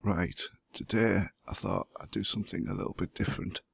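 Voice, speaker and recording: A hoarse man who has the flu, walking through a computer setup step by step as the host of a gaming channel. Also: low voice, sing-song, fairly quick, no echo, studio quality. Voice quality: gravelly, husky. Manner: plain step-by-step explaining. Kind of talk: screen-recorded tutorial.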